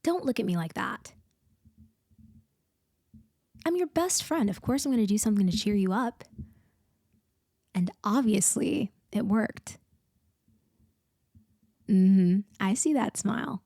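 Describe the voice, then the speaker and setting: Woman acting as young female best friend, high voice, unhurried, playful teasing, affectionate, casual ASMR roleplay. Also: sing-song, mellow, no echo, clean studio sound, heavily acted. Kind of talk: ASMR roleplay, one side of a conversation.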